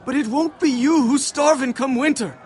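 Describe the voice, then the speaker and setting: High, desperate British male soldier. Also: moderate pace, over music. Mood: bitter, indignant. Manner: pleading, indignant. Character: desperate, defiant poor male soldier accused of theft. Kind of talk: dramatic fantasy video game acting.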